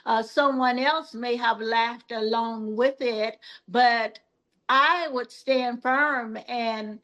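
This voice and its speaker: Slow, soft-spoken older woman. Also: on the high side, fairly even intonation, highs cut off. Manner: soft-spoken, measured and earnest, with pauses and fillers. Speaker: podcast guest. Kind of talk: reflective interview talk.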